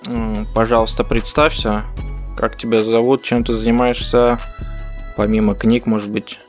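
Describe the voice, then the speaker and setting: A man hosting a podcast, asking his guest calm, measured questions. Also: fairly low pitch, soft background music. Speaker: podcast host. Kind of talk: interviewing.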